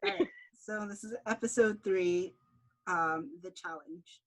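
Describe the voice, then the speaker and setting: A woman's voice: mid-range voice, measured pace, poor audio. A woman hosting with casual chat as she introduces an episode.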